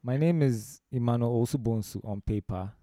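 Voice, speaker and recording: A man's voice: medium pitch, normal speed, dark tone, no room sound, clean studio sound. A man with a Ghanaian accent, a musician telling anecdotes in a discursive way as an interview guest. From Ghana, born in Romania. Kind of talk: conversational interview storytelling.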